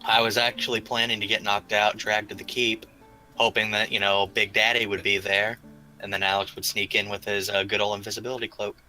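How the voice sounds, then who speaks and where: Bright-voiced man in casual gamer chat. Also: on the low side, level tone, a little fast, poor audio. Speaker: player in a tabletop roleplaying game. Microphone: remote voice call microphone. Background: background guitar music.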